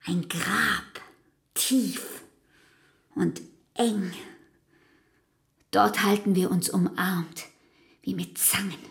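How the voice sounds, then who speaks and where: An actress playing a brooding, anguished woman, devoted and fatalistic, speaking deliberately in a literary radio play. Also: mid-range voice, steady tone, gravelly. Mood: morbid longing. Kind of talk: literary radio drama acting.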